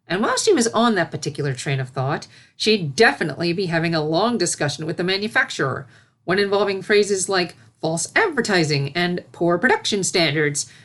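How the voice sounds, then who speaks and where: Female narrator for scripted fiction, slightly low register. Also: sing-song, a little fast, a bit bright.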